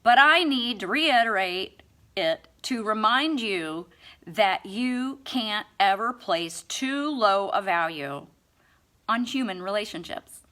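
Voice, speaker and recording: A woman's voice: mid-range voice, lively intonation, slow, bright, forceful tone. A woman reading a book aloud expressively, with a sarcastic, mock-earnest and theatrical delivery. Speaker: amateur audiobook reader.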